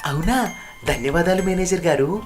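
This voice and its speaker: కార్టూన్ నీతి కథలో నిజాయితీగా, వినయంగా మాట్లాడే నడివయసు రైలు డ్రైవర్ పాత్రకు గొంతు ఇస్తున్న స్త్రీ. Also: female voice, lowish voice, animated, moderate pace, loud music behind the voice, voice pushed up, lightly acted. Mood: polite, pleased. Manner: respectful, earnest. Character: earnest, polite, eager middle-aged male train driver. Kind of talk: animated moral story dialogue.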